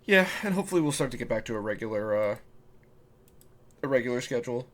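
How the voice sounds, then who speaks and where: A man's voice: medium pitch, animated, fast talker. A man hosting a podcast in casual, conversational chat. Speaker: podcast host. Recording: clear background room noise.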